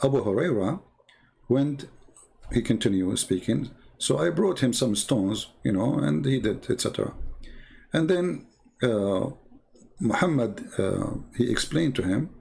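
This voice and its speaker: A man who is not a native English speaker gives informal, mocking commentary as he retells and reads out stories, in a halting, repetitive way. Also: medium pitch, creaky, a little mellow. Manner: sardonic, mocking. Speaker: commentator presenting religious texts. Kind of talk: informal polemical commentary, retelling and reading aloud.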